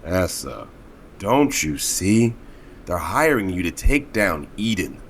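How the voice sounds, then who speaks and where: A slow, seedy go-between in an audio drama. His low voice swings up and down as he draws out his words. Faint ambient noise sits behind him. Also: very animated, exaggerated voice. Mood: persuading. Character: slow-talking male go-between living in a grubby caravan.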